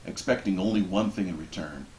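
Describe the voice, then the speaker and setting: A man reading a book passage aloud expressively, with measured, deliberate phrasing and dramatic pauses. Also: low-pitched, a little mellow. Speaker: reader of a book introduction. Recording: roomy echo with background noise.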